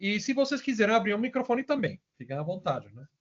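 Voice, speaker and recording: A man's voice: high-pitched, sing-song, quick pace, crowd noise. Venezuelan-accented man presenting a webinar. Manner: casual, many fillers and tag questions. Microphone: video call. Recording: muffled lo-fi audio.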